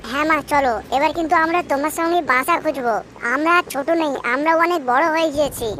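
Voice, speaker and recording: A woman's voice: sits high, fast, a bit bright, slight background noise. A woman voicing a young, earnest bird chick who pleads like a child in a cartoon, with music underneath. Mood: eager. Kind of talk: cartoon character dialogue.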